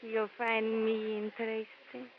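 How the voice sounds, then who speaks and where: Sultry, guarded femme fatale, muffled. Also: female voice, a little high, monotone. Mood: cool, probing. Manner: halting, formal broken English, cool and alluring. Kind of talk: 1950s radio drama acting. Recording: dull 1950s radio recording, faint noise.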